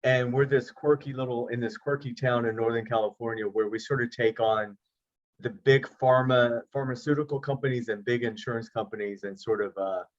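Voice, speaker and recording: A man's voice: mid-range voice, fairly even intonation, a little fast. A middle-aged man, an actor and producer, chatting easily as an interview guest over a video call, with self-deprecating jokes. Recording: slightly dull lo-fi audio.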